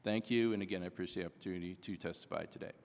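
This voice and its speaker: A man with a fairly low voice reads formal testimony in a hearing room, measured and even. Long reverb hangs on his words. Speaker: witness testifying. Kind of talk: prepared congressional testimony.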